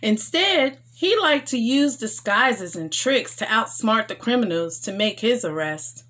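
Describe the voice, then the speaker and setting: Slow, very lively high-voiced woman storyteller. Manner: enthusiastic, expressive, emphatic. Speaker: host of a children's story time show. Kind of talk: read-aloud storytelling for children.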